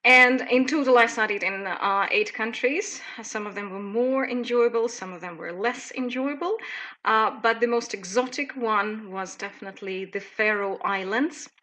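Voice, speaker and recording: Bright-voiced Russian woman hosting a webinar. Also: on the high side, moderate pace. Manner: chatty, lively, with many fillers. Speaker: webinar presenter, teacher trainer. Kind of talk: live webinar presentation.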